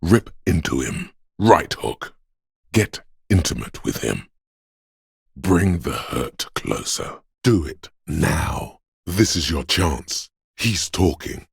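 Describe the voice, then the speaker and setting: Extremely deep, gravelly, menacing inner voice. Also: male voice, very animated, takes it slowly, no room sound, clean studio sound, full character voice. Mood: goading, aggressive. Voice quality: gravelly, husky. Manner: menacing, urgent, ominous. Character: paranoid, aggressive inner voice of male primal fear. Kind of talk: video game voice acting, second-person dramatic narration.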